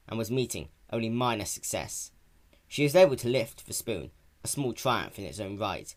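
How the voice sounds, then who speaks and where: A man's voice: fairly low pitch, a bit bright. A man reading a story aloud as narrator, in a scripted, dramatic style.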